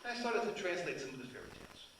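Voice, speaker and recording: A man's voice: high-pitched, very animated, very fast, fairly bright tone, slight background noise. An older man, a translator whose first language is Sicilian, telling rambling anecdotes with many restarts at a book event. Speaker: translator and author. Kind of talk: storytelling talk at a book event. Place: event hall. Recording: echoey hall, mic from far.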